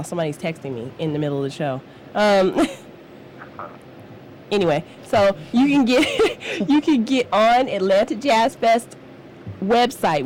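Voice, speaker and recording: A woman's voice: medium pitch, darkish tone, slight background noise, low-quality recording. A woman hosting a live radio talk show, chatty and upbeat as she promotes events, often laughing. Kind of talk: casual live radio chat.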